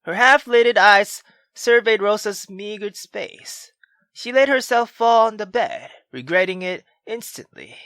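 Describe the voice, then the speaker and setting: A woman hosting a gaming video, reading a visual novel aloud with expressive, casual, sweary commentary. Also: medium pitch, a little slow, no room sound. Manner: expressive, swearing, exclamatory asides. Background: faint game music.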